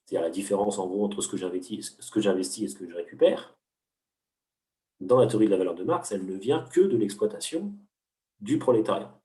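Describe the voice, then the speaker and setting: A man's voice: lowish voice, expressive, brisk, warm tone. A man, an economics teacher, explaining ideas patiently in an interview, with frequent hesitations and restarts. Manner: didactic, many hesitations and restarts. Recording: roomy, from a distance.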